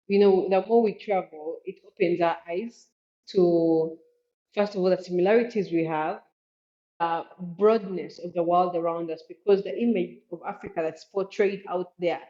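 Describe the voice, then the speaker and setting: A woman's voice: fairly low pitch, mellow. Ugandan woman, slightly dull lo-fi audio. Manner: earnest, explanatory, often adds "you know". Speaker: podcast guest, Africa travel expert. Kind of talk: conversational interview answers.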